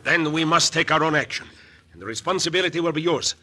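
Indignant, bright-voiced Mexican rancher. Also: male voice, on the high side, fast talker. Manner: formal, insistent, proud. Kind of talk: old-time radio western acting.

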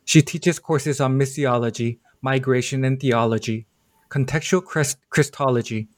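A man hosts a podcast interview and reads out a formal, measured introduction of his guests. His voice sits at an ordinary middle pitch. The sound is dry and close, with no room around it. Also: no room sound. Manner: measured, formal delivery with occasional slips. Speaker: podcast host.

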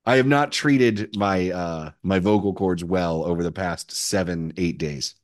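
A man with a hoarse, worn voice, co-hosting a casual sports podcast and needling his friend. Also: deep voice, measured pace, dry recording, studio quality. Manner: teasing, needling his co-host. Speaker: podcast co-host.

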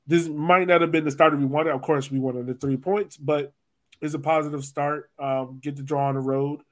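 A man hosting a soccer podcast, chatting casually about the sport. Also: mid-range voice.

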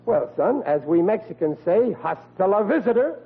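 A man's voice: sits high, sing-song, normal speed. An actor playing a blustering, dim-witted father of forty in an old radio comedy, talking in a folksy, ungrammatical, cocksure way. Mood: goading. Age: 40s. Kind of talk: old-time radio situation comedy acting. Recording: tinny, hissy old radio broadcast.

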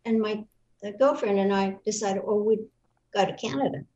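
A woman's voice: mid-range voice, moderate pace, warm tone, dull audio. A woman in her seventies from Australia, with an Australian accent, recounting her life as a guest in a live online interview, rambling with many fillers and restarts.

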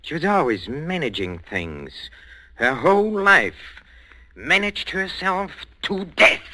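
An actor playing a meek but secretly resentful botany professor in a 1940s radio thriller, with a Central European accent and hesitant, repetitive speech. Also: male voice, fairly high pitch, sing-song, pushed and bright, dry recording, heavily acted. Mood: brooding, bitter. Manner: hesitant, halting, repeating words. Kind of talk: 1940s radio suspense acting. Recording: 1940s radio recording with music.

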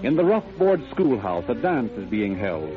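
Casting: male American narrator for vintage radio drama, formal, expressive storyteller, music underneath, thin old-broadcast sound. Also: medium pitch, normal speed, narrow, tinny audio.

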